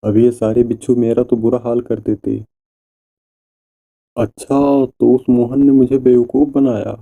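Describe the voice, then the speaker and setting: A man's voice: medium pitch, flat delivery, a little slow, creaky, mellow. A man voicing a greedy, mocking, scheming villager in a cartoon moral story, with a taunting, exclamatory delivery. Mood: angry, vengeful.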